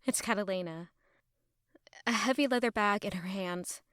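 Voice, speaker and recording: An actress playing an anxious, gentle young former palace maid in an audio drama, speaking introspectively. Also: female voice, medium pitch, moderate pace, slight background noise, close to an everyday voice. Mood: wistful, uneasy. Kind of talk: audio drama acting with inner narration.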